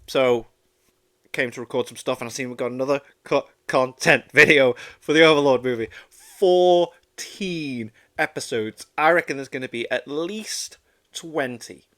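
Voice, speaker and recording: A man's voice: medium pitch, a bit bright. Congested man chatting casually, enthusiastic. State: congested with a cold. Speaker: reaction channel host. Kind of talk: casual chat and short reactions.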